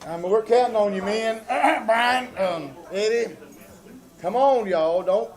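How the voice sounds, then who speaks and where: A high-pitched man jokes in a folksy, teasing way. He speaks live on stage as a troupe member. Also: slow pace, a little mellow. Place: theater stage before a live audience.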